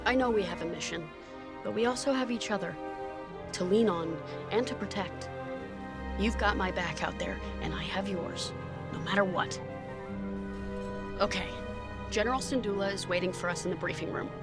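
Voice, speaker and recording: An actor playing a gentle, loyal young rebel pilot, speaking earnestly in a video game. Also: male voice, high-pitched, normal speed, long pauses, over loud music. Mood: grieving resolve. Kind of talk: cinematic game dialogue.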